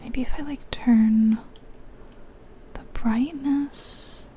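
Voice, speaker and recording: A woman's voice: fairly high pitch, steady tone, extremely slow, mellow. A woman whispering breathily in an ASMR game commentary, musing aloud and indecisive, close to the microphone. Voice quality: breathy, mostly unvoiced whisper. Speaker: ASMR video creator.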